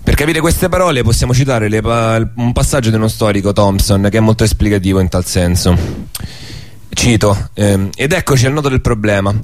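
A man's voice: lowish voice, brisk. A man giving a prepared radio talk, partly read aloud, in formal bookish phrasing with small stumbles. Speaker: radio show speaker.

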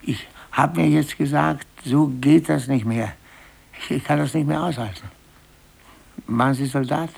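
An actor in a 1950s German radio play, playing an older, humiliated office clerk with a gravelly, husky voice and a brooding, halting manner. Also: male voice, on the high side, a little mellow, exaggerated voice. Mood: brooding, earnest.

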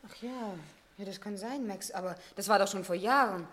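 An actress playing a questioning, quick-to-object stepdaughter in a 1960s German radio play, expressive and insistent. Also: female voice, medium pitch, natural voice. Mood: surprised.

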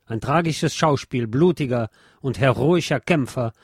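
A man's voice: medium pitch, a little slow, husky, no echo, clean studio sound. A man reading a scripted historical narration aloud as a narrator.

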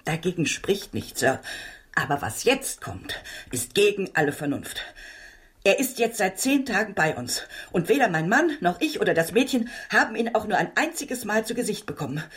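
A woman's voice: mid-range voice, very animated, moderate pace, slightly rough. An actress playing an anxious, deferential working-class landlady in a German radio drama, speaking earnestly and fretfully. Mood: earnest, troubled.

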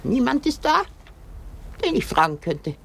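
Old wife, extremely wide pitch swings, unhurried, weary, stubborn, radio drama, slight background noise. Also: female voice, sing-song, vocal fry, fairly bright tone, exaggerated voice. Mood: desolate, musing. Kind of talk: theatrical German radio play acting.

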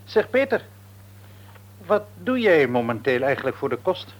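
Middle-aged father, raspy, expressive pitch, reasonable, probing, mildly ironic, radio play. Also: moderate pace. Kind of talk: Dutch radio play acting.

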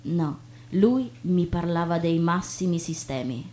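A woman acting on stage as a chatty, naive young woman, expressive and colloquial. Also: lowish voice, takes it slowly. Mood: chatty, self-mocking. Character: chatty, inquisitive, self-deprecating young woman, breezily naive. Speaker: stage actress. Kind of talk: theatrical monologue and dialogue. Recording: noticeable background noise with hum.

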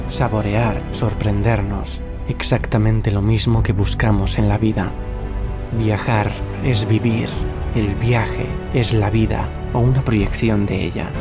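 An actor plays a dreamy, earnest young male poet in a radio drama. His voice is on the low side and reflective, with loud music underneath. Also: close to an everyday voice. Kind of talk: radio fiction acting.

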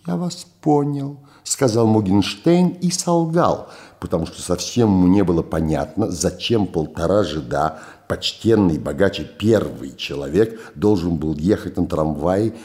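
Older man reading prose with theatrical flair. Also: low voice. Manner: theatrical, richly expressive. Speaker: reader, actor. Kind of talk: performed literary reading.

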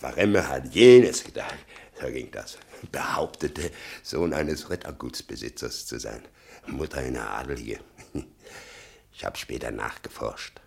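Gravelly, very deep-voiced, embittered old father. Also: darkish tone, exaggerated voice. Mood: scornful. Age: older. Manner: muttering, brooding, with sudden outbursts. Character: embittered old father obsessed with his convicted son. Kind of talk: German radio drama acting.

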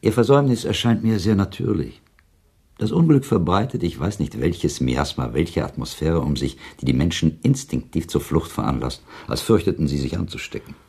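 An actor playing an urbane, cynical listener in a German radio play, arguing formally and with irony. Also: male voice, sits low, big pitch swings, moderate pace, creaky, darkish tone, husky, full character voice. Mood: ironic, curious. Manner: ironic, formal, argumentative. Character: cynical, urbane male listener, provocative, argumentative sceptic. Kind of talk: German radio play dialogue, literary debate.

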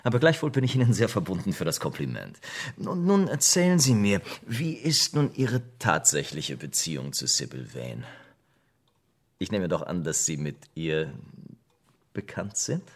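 A suave cynical aristocrat, low voice, dry irony, radio drama. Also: male voice, very animated, moderate pace, exaggerated voice. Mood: teasing, curious. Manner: suave, ironic, aphoristic. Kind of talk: German radio drama acting.

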